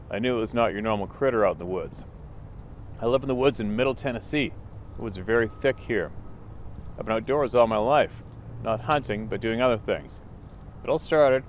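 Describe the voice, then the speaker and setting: A man's voice: mid-range voice, a little hiss or hum. Man reading a listener's story outdoors. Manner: plain storytelling, steady reading. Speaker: channel host reading a listener letter. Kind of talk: reading a viewer's story aloud. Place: outdoors by the water.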